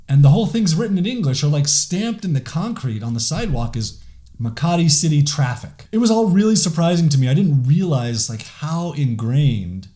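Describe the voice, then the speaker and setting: A man talks through a podcast episode alone in a loose, conversational way. His voice is warm and mellow, and he keeps dropping in "like" and restarting his sentences. His pitch sits in an ordinary middle range for a man. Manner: conversational, many "like" fillers and restarts. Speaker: podcast host. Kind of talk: casual solo podcast monologue.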